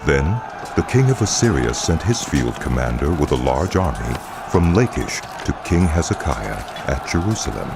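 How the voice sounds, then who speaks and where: A man narrating a dramatized scripture story in a solemn, measured storytelling manner. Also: rumbling low, big pitch swings, gravelly. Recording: noisy outdoor ambience behind.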